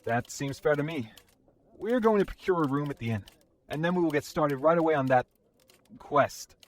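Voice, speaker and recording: A man's voice: fairly high pitch, animated, moderate pace, slight background noise, lightly acted. A man playing a polite, level-headed adventurer with formal wording in a fantasy audio drama. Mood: formal, businesslike.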